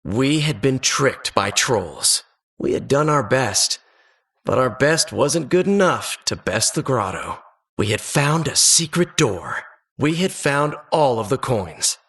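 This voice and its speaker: A man's voice: mid-range voice, big pitch swings, slow pace, fairly bright tone, husky, heavily acted. A man playing an earnest adventurer who narrates childhood dungeon exploits for a video game in a suspenseful, wistful storybook manner. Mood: ominous, then wistful, dramatic.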